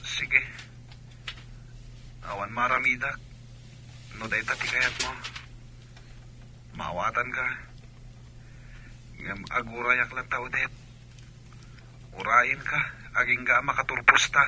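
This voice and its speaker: A man's voice: mid-range voice, fairly even intonation, slow pace, pauses often, bright, forceful tone, a little hiss or hum, poor audio. A man playing an earnest, pleading young student boyfriend with an Ilocano accent in a Philippine radio drama. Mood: earnest, resigned.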